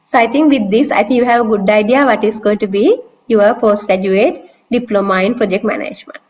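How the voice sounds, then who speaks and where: A woman course instructor giving a relaxed, conversational overview of a course on a video call, with frequent casual fillers. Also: mid-range voice, fairly quick. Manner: conversational, loose with fillers like "you know, like". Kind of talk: online course orientation talk.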